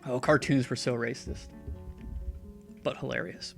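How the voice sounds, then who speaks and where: Gravelly-voiced man rambling over a game. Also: medium pitch, steady tone, measured pace, soft background music. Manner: chatty, sarcastic, talks back to the game's characters. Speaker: streamer playing a game. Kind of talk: rambling live-stream commentary.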